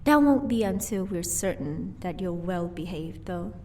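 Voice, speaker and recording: A woman playing a vampire's playful, possessive wife in an audio roleplay, teasing and doting with quiet menace. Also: fairly low pitch, expressive, measured pace, far from the mic, slight background noise. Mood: gently menacing.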